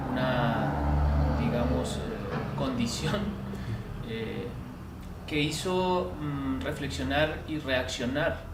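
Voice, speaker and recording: A middle-aged man from Chile with a Chilean Spanish accent, recalling his past in an interview, pausing often with filler sounds and restarts. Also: mid-range voice. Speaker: artist and film teacher. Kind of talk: reflective interview recollection. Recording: roomy, distant mic with noise.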